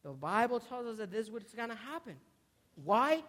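A man's voice: very high voice, very animated, normal speed. A man in his twenties preaching a sermon emphatically to a congregation, repeating key words and putting questions to his listeners. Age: 20s. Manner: emphatic, repeating key words, swinging from loud stresses to hushed asides. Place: large indoor hall before a congregation. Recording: reverberant hall, long echo.

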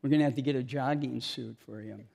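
A man's voice: medium pitch, fast talker, gravelly, a little mellow. A man preaching an informal sermon, chatty and self-mocking, joking with the congregation between scripture readings.

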